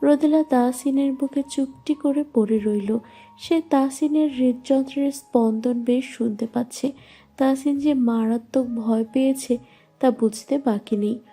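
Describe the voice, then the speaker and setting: A woman's voice: fairly high pitch, warm tone, breathy edge. A woman narrating a scripted romantic story. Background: faint music.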